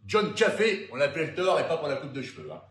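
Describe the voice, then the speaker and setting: A man's voice: a little high, big pitch swings, quick pace, reverberant, heavy background noise. A man filming and introducing his friends in an old home video, in a rowdy, teasing way. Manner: rowdy, teasing, shouting jokes. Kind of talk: joking home-video commentary.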